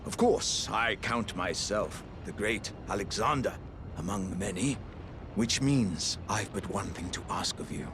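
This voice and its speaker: An actor playing a proud, jovial living warrior jar in a video game, speaking in a grandiose, old-fashioned heroic manner. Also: male voice, lowish voice, lively intonation, measured pace, noisy background. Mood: hearty, admiring. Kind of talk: video game character dialogue.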